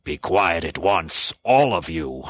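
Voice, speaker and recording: Storybook: male reader as a severe old badger, deep and unhurried, dry close recording. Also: very animated, no echo, full character voice. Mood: severe scolding. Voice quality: gruff, severe, measured. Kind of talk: audiobook character voice.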